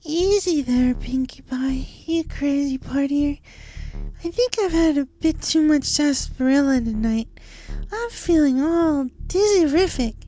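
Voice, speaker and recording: Very high-pitched, earnest cartoon pony. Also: female voice, measured pace, warm tone, poor audio. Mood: dizzy, tipsy. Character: bubbly female cartoon pony, desperately upbeat, haunted. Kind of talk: dramatized diary reading of fan fiction.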